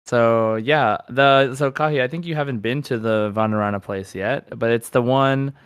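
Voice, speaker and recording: A man's voice: medium pitch, normal speed, smooth, no room sound, clean studio sound. A man hosting a podcast, chatting casually and reading out listener comments in an easygoing way. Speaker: podcast host.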